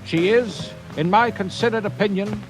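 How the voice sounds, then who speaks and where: A grave male expert, played by an actor, delivers his verdict with melodrama. His voice is high, and music is clearly audible behind it. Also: normal speed, natural voice, poor audio. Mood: grave alarm.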